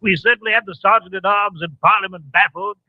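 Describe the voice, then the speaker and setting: An actor playing a proper, easily baffled English gentleman companion with a British accent, blustery and fussy, in an old radio drama. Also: sits high, fairly quick, heavy background noise, natural voice. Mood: musing. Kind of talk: 1940s radio acting. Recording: tinny, husky old radio recording.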